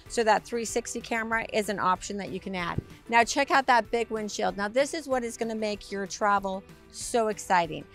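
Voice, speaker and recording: Hoarse, raspy-voiced woman giving an upbeat tour. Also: fairly bright tone. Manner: bubbly, upbeat sales pitch. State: hoarse from a week of talking. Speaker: RV sales presenter. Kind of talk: enthusiastic walkaround tour.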